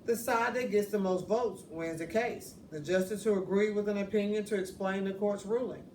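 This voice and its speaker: Lady reading a kids book out loud, expressive and deliberate, echoey room, bit far from the mic. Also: mid-range voice. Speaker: picture book reader. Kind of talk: children's read-aloud narration. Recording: roomy, distant mic, faint noise.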